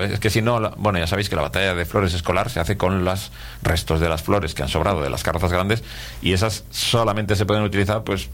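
A middle-aged man with a Castilian Spanish accent, interviewing as a radio host in a chatty, rambling way and often ending phrases with a questioning tag. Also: low-pitched. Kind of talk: casual conversational interview.

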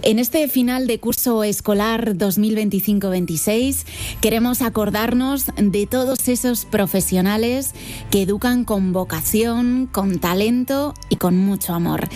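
A middle-aged woman from Spain hosting a children's radio show in a bright, friendly, upbeat way. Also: medium pitch, a little slow, soft background music. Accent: Spain Spanish. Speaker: radio host.

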